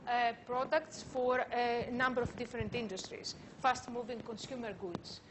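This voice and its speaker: A woman lecturer giving an academic conference talk, speaking in a halting way with frequent filler sounds. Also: fairly high pitch, fairly even intonation, background noise.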